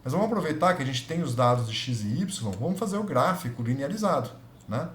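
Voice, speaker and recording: A man's voice: mid-range voice, animated, fast talker, fairly bright tone. A man with a Brazilian Portuguese accent, a physics lab instructor talking through a spreadsheet tutorial, thinking aloud and correcting himself as he goes. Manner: thinks aloud, self-correcting, checks in with students. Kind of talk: screen-recorded spreadsheet tutorial. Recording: echoey room with faint noise.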